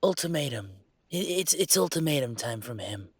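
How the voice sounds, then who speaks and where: A man playing an upset young werewolf venting about his father in an intimate audio roleplay, speaking in a halting, emotional, rambling way. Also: a little high, big pitch swings, breathy edge, no echo, full character voice. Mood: agitated, distressed.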